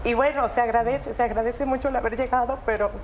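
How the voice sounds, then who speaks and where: A young woman describes her evacuation in an earnest, halting way, often repeating herself. Her voice sits a bit high. Faint background noise is heard. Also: steady tone. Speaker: interviewee, evacuee and student. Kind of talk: emotional interview testimony.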